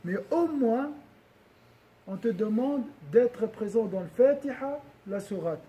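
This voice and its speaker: Male religious teacher, high-pitched but mellow in tone, talking to a room, checks in with listeners, loops back on his words. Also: expressive, slow pace. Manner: questions the audience often, repeats and restarts phrases. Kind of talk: religious lesson to a gathering.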